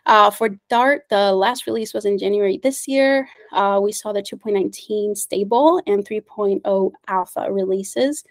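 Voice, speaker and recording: A woman's voice: mid-range voice, moderate pace, hardly any pauses, no room sound. Upbeat woman presenting on a video call. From United States. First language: Spanish. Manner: friendly, upbeat, with frequent fillers and little laughs. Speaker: meetup organizer and presenter. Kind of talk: online meetup presentation.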